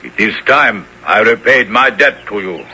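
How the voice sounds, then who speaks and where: A man's voice: on the low side, expressive, unhurried, bright, forceful tone, breathy edge, heavily acted. An actor playing a noble, dignified new king in a 1970s radio drama, with formal and solemn delivery. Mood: solemn, grateful. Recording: noisy old radio broadcast recording.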